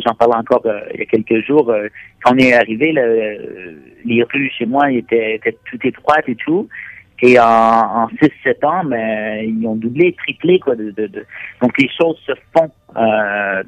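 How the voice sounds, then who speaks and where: A man's voice: medium pitch, no echo, dull audio. Québécois man in his 30s, interview guest, stumbles over fillers, dry close recording. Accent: Québécois French. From Quebec, Montreal. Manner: halting, many fillers and repeated words. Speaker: guest, Canadian expatriate. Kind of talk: conversational interview answers.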